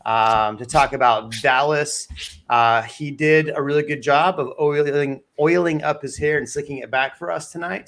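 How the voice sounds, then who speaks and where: A man's voice: medium pitch, a bit bright. A man hosting a casual real estate livestream, joking and teasing his co-hosts. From Texas. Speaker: real estate show host. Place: home studio on a live stream.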